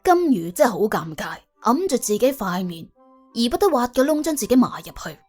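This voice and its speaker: A woman's voice: a little high, very animated, a little fast. A woman narrating a comic crime audiobook in a dramatised, colloquial storytelling style. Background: soft background music.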